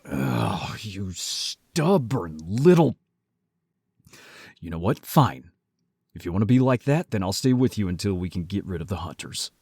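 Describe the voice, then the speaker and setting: A man playing a protective werewolf brother in an audio roleplay, gruff, blunt and exasperated but caring. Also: low-pitched, big pitch swings, measured pace, a little mellow, dry recording, clean studio sound, full character voice. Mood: mocking, frustrated.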